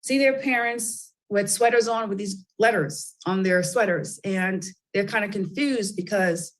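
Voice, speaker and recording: A woman's voice: medium pitch, measured pace. Middle-aged lady author chatting on a radio show, lots of ums and restarts. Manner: chatty, many fillers and restarts. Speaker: guest, children's book author. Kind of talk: radio interview.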